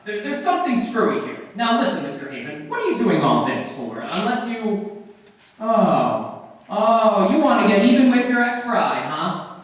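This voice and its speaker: A man's voice: sits high, moderate pace. A man playing a cynical, sarcastic magazine writer in an old-time radio drama. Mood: suspicious. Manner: sarcastic, excitable. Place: live stage performance. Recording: echoey hall, distant mic.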